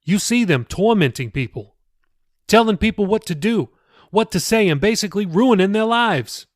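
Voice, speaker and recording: A man's voice: high voice, animated, normal speed, dry recording, clean studio sound, voice pushed up. A man reading an audiobook, voicing an earnest, persuasive pastor who talks casually and presses with questions. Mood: probing. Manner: colloquial, insistent, questioning. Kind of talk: audiobook character dialogue.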